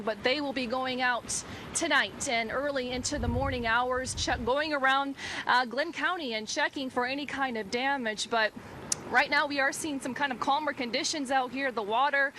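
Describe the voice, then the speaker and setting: A woman's voice: high voice, bright, forceful tone. A woman TV news reporter giving an informal, ad-libbed live weather report from outdoors. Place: outdoors by the shore. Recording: faint outdoor storm-day noise.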